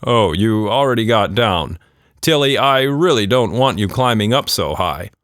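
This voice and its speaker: A man's voice: on the low side, animated, measured pace, a bit bright, no echo, clean studio sound. A man playing a flustered, mildly skeptical father in a children's story, hesitant and indulgent. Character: flustered, mildly skeptical suburban dad of a young girl.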